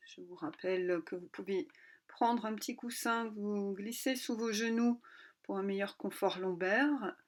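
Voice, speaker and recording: Woman guiding a calm relaxation session. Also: medium pitch. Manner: calm, unhurried, instructional with pauses between short phrases. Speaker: yoga nidra instructor. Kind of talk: guided relaxation.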